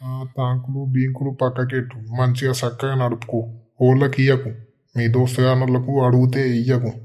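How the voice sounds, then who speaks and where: A man's voice: medium pitch, monotone, brisk, a little mellow, lightly acted. A man voicing a grumbling, sarcastic village father in a comedy cartoon, with a Telangana accent. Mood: grudging. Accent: Telangana Telugu. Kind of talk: Telugu comedy cartoon dialogue.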